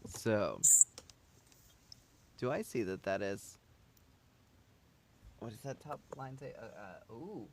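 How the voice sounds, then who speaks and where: A man's voice: fairly low pitch, lively intonation, slow, long pauses. A man hosting a book show, chatting casually with guests on a live stream. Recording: some background noise.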